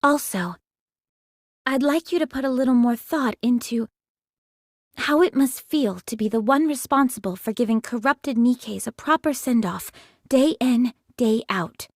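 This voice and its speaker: An actress voicing a compassionate, level-headed young woman soldier in a video game, soft and breathy, protective of others. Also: on the high side, a little slow, no echo, clean studio sound. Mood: calm, reproving. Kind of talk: dramatic game voice acting.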